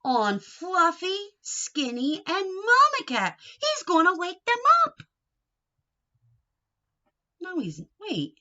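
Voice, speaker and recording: A woman reading a picture book aloud to young children in an expressive, sing-song way, coaxing them with questions. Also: very high voice, slow, long pauses, highs cut off.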